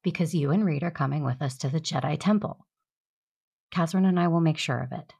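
A woman playing a steadfast, protective and tender sister in an audio drama, speaking earnestly and with measure in a husky, creaky voice. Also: sits low, fairly quick, a little mellow, exaggerated voice. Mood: reassuring.

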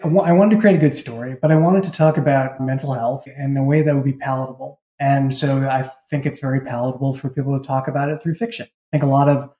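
A man's voice: mid-range voice, fairly even intonation, quick pace, hardly any pauses, warm tone. A man speaking conversationally in an interview, as a writer explaining his creative work. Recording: roomy, echoing sound.

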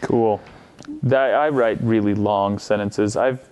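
A man's voice: fairly low pitch, fairly even intonation, slow pace, darkish tone, smooth. A man chatting casually as a podcast co-host. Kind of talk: casual chat.

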